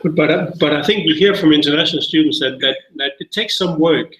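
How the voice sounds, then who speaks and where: A man's voice: on the high side, quick pace, fairly bright tone. A man, talking casually as a university panelist. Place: small office meeting room. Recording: echoey room on shared mic.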